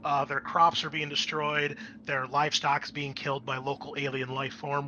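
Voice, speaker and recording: A man narrating a tabletop game as game master in a casual, improvised way, often halting to think aloud. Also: fairly high pitch, bright, forceful tone, poor audio. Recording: clear background noise.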